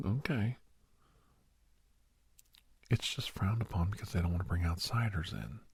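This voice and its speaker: A man playing a kindly doctor in an ASMR roleplay, speaking in a hushed, breathy whisper with pauses. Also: low voice, dry recording, heavily acted. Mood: reassuring, confiding. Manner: hushed, unhurried, with pauses and soft chuckles. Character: kindly doctor advising a young werewolf, old family friend.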